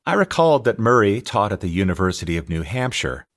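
A middle-aged American man reading his own nonfiction audiobook in a measured, reflective way with deliberate phrasing. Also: fairly low pitch, sing-song, fairly bright tone. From California, United States. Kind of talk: scripted audiobook narration.

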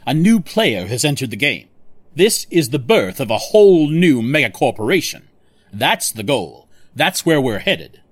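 An actor playing a cocky, ambitious corporate villain in an audio drama, speechifying smugly and persuasively. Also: male voice, medium pitch, very animated, measured pace, no echo. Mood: persuasive, grandiose. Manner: speechifying, smug.

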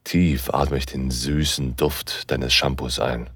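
A man's voice: very deep voice, flat delivery, slow, fairly bright tone, husky, no echo, full character voice. A man playing a seductive, commanding university professor who narrates an intimate audio drama in the first person, sighing between phrases. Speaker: narrator and lead actor.

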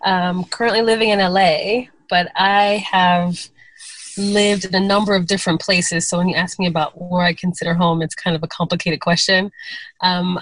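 A woman's voice: fairly low pitch, fairly bright tone, no room sound, rough recording. A woman in her forties from Chicago, answering interview questions in a reflective, storytelling way. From Chicago, USA. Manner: reflective, storytelling, light laughs. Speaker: podcast guest.

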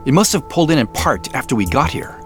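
Excitable, earnest man with swooping pitch. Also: fast, faint music behind. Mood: uneasy, grave. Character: excitable, uneasy man on a camping trip, earnest. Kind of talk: naturalistic audio drama dialogue.

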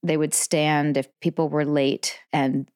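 A woman's voice: low voice, little pitch movement, measured pace, darkish tone, smooth, dry recording, studio quality. A woman co-hosting a podcast in casual conversation, reflective and hedging as she talks. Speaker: podcast co-host.

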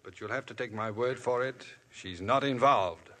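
An actor playing a guarded troubled priest. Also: male voice, mid-range voice, normal speed, lightly acted. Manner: guarded, evasive, quietly firm. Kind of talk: 1950s radio drama acting.

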